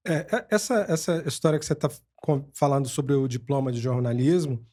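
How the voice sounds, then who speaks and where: A man from Brazil hosting a podcast, thinking aloud in a halting way with many restarts. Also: mid-range voice, darkish tone. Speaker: podcast host. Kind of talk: casual interview chat.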